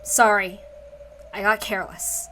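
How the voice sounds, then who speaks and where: A woman's voice: mid-range voice, steady tone, unhurried, bright, forceful tone, slight background noise, pitched below the performer's own voice. A woman voicing a haughty young woman who speaks in a curt, dismissive, displeased way. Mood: bored, displeased.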